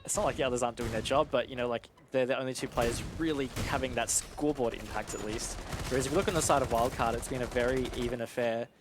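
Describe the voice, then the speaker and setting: A man's voice: mid-range voice, fairly even intonation, fast talker. A man giving analytical esports commentary in a conversational, explanatory way. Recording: noisy game gunfire and clicks behind.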